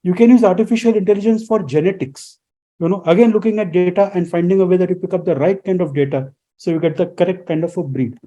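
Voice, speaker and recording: A man talks through his subject as a radio guest. His voice sits high and sounds deeply mellow. He teaches as he goes and keeps posing rhetorical questions. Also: quick pace. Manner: lecturing, poses rhetorical questions. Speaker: guest expert. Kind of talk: explanatory radio interview answers.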